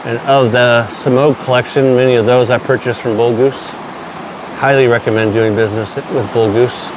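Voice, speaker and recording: A man's voice: medium pitch, fairly even intonation, normal speed, background noise. A man in his late forties casually reviewing shaving products, enthusiastic and plainspoken, with some mild swearing. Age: 40s. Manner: enthusiastic, plainspoken, mildly profane.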